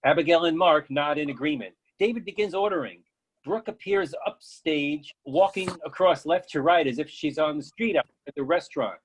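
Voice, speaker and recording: A man narrates from a script, reading stage directions. His voice is a little higher than most men's and noticeably husky. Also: moderate pace, low-quality recording.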